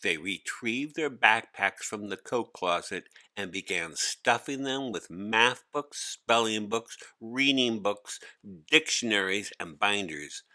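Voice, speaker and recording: A man giving an expressive, scripted read-aloud narration of a children's story. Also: lowish voice, slow pace.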